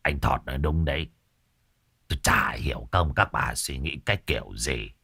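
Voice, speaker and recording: A man voicing a grumbling older male shaman who talks low and quiet. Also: normal speed, creaky, breathy edge, no echo, clean studio sound, exaggerated voice.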